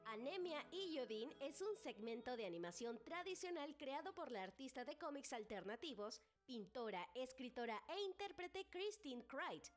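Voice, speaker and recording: A woman's voice: high voice, very animated, moderate pace, few pauses, faint music behind. A woman reading scripted, informational narration as a reviewer presenting characters.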